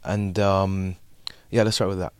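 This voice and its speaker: A young man from Saudi Arabia co-hosts a podcast, putting casual questions to his guest in a hesitant, halting way full of fillers. His voice sits a little low. The recording is dry and close. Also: little pitch movement, darkish tone, clear, resonant tone, dry recording. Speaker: podcast co-host.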